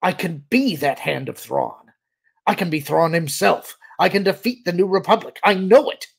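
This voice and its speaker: A man's voice: high voice, animated, moderate pace, gravelly, husky, voice pushed up, exaggerated voice. A man voicing a fanatical, boastful army major in an audiobook, desperate and vengeful, with a snarling, agitated manner. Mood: pleading insistence. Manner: snarling, sneering, agitated, insistent.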